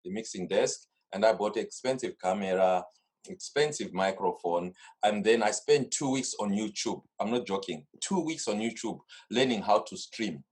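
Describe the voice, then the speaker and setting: A man's voice: lowish voice, expressive, normal speed, breathy edge. Zimbabwean man telling his story. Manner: rambling storytelling, many restarts. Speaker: carnival organiser, guest. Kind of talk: casual interview talk. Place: home studio desk.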